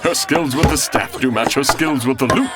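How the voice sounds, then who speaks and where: An actor playing a big, good-humoured, boastful outlaw sidekick in a comedic audio drama, blustering in archaic English. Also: male voice, mid-range voice, normal speed, loud music behind the voice, a loud crowd, close to an everyday voice. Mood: teasing. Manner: blustering, theatrical archaic speech.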